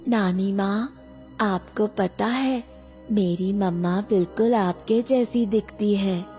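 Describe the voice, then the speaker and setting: A woman's voice: mid-range voice, slow pace, mellow, close to an everyday voice. A woman voicing a sweet, easily frightened little granddaughter in a cartoon horror story, childlike and hesitant. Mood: timid, curious. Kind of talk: animated horror story acting. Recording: background music.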